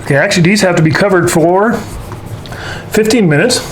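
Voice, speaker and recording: Folksy cooking host over clear background noise. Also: medium pitch, brisk, low-quality recording. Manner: folksy, jokey, informal. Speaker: host of a paranormal show doing a baking segment. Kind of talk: casual cooking demonstration to camera.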